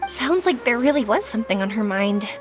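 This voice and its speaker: An actress playing an upbeat, caring young heroine in a video game. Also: female voice, a little high, lively intonation, a little fast, music in the background, lightly acted. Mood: friendly, concerned.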